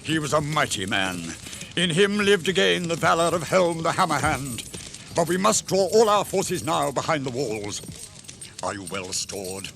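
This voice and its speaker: A man's voice: on the high side, big pitch swings, moderate pace, vocal fry, fairly bright tone, slight background noise, full character voice. An actor in a radio drama playing a lordly, solemn king of warriors who speaks formally. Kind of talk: 1980s radio drama acting.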